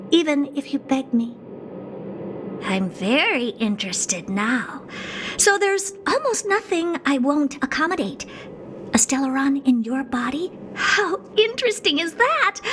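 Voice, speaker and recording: A doll-like female genius of a sci-fi game with a very high, lively voice. She is condescending and blunt, drawling with smug superiority, and music plays clearly behind her. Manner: condescending, smug, blunt, bored drawl turning to keen curiosity. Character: haughty, doll-like female genius scientist who owns a space station. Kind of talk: video game cutscene acting.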